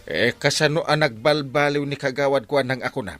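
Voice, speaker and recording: A woman's voice: extremely low pitch, fairly quick, faint music behind. A woman playing a puzzled villager in an Ilocano comedy drama, questioning and exclaiming.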